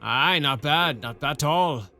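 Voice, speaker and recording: A man voicing a gruff one-armed old army sergeant with a put-on rustic dialect, drawling slowly, in a storybook reading. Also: fairly high pitch, animated, bright, forceful tone, exaggerated voice. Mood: approving.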